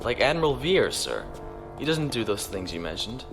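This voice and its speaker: A man's voice: medium pitch, sing-song, moderate pace, over music. A young man playing an earnest, deferential navy lieutenant who gets flustered and stammers. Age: young adult.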